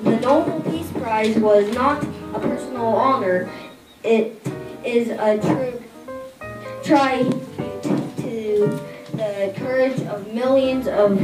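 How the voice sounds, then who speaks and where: Child reader, halting and deliberate, slow school recitation, distant mic, music behind. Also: mid-range voice, reverberant. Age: child, third grader. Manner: halting, deliberate reading with stumbles. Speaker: student reader. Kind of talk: scripted reading of a famous speech in a school reader's theatre.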